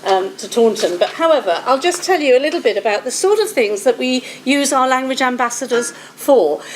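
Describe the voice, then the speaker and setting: A woman who coordinates language outreach, giving an explanatory presentation to an audience with occasional "um" pauses. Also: high-pitched, a little hiss or hum.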